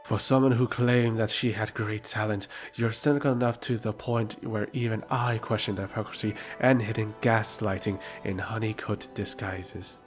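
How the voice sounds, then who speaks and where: A man acts out a defiant courtly advisor in a fantasy audio drama. The voice sits fairly low, formal and pointed with a sardonic edge, over faint music. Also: fairly even intonation, moderate pace, few pauses, natural voice. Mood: accusing.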